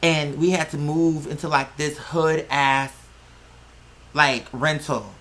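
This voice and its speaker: A performer voicing an awestruck celebrity client who slowly and solemnly recalls childhood hardship in a hushed, halting way. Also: mid-range voice, fairly even intonation, pitched below the performer's own voice. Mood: hushed amazement. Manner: hushed, slow, halting, amazed.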